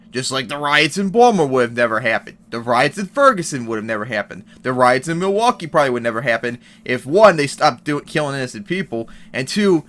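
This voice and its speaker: A man's voice: mid-range voice, sharp tone. A man giving heated, profane commentary on an article and news clips, reading passages aloud and reacting. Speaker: commentary vlogger.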